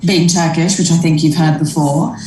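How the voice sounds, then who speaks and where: Low-voiced English woman in her thirties, halting. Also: fairly even intonation, recorded from a distance, a crowd in the background. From Australia. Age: 30s. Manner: hesitant, lots of fillers and restarts, laughs often. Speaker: stand-up comedian, guest. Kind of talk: casual interview chat. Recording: roomy, from off the mic.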